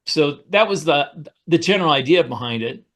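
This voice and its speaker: Higher-voiced man telling casual, rambling stories. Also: animated, moderate pace, fairly bright tone. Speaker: podcast co-host, author. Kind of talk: casual anecdotal chat.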